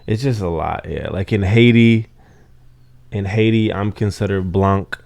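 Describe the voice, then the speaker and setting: A man's voice: fairly low pitch, unhurried, vocal fry, darkish tone. A man on a podcast talking in a laid-back, slangy way. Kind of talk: casual conversation.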